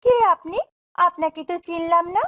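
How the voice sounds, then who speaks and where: A woman's voice: extremely high pitch, moderate pace, sharp tone. A woman playing a meek, kind, hard-done-by young stepdaughter in a fairy-tale cartoon, with a plaintive, dutiful way of speaking.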